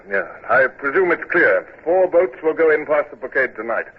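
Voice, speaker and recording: A man's voice: mid-range voice, sing-song, moderate pace, natural voice. A man playing a brusque naval captain. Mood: commanding. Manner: curt, authoritative orders. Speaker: narrator and lead. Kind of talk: 1940s radio acting. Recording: tinny old radio broadcast with hiss.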